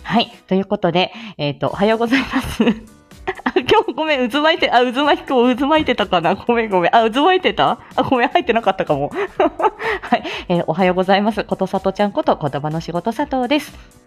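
A woman's voice: a little high, very animated, fairly quick, faint music behind. A woman hosting a casual live morning stream, chatting and greeting listeners in a bubbly, giggly, self-deprecating way. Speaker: speech-language therapist.

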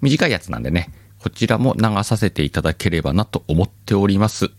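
A man hosting a podcast in casual chat, with relaxed, chuckling asides. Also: sits low, fairly quick, no echo, studio quality. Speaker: podcast host.

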